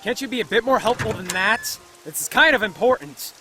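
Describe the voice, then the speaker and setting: A woman playing a hot-headed, excitable teenage schoolboy in an anime dub, indignant and exclamatory, with a gravelly edge. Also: fairly high pitch, a little fast, very noisy, close to an everyday voice. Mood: exasperated.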